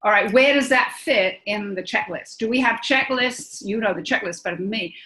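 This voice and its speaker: A woman with a bright, forceful voice talks through her ideas at a pediatric workshop. She thinks out loud, throwing in question after question. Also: medium pitch, moderate pace, rough recording. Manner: thinking aloud with frequent rhetorical questions.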